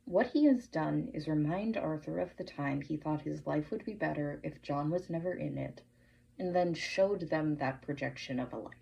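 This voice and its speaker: A woman giving prepared commentary as a podcast listener, measured and deliberate, posing pointed questions. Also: low voice, creaky, warm tone, lo-fi sound. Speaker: listener sending in a voice message.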